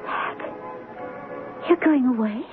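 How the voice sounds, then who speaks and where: An actress playing a devoted young princess in love, soft and earnest in a romantic radio drama. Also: female voice, high-pitched, expressive, takes it slowly. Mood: worried. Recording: dull 1970s radio broadcast, music behind.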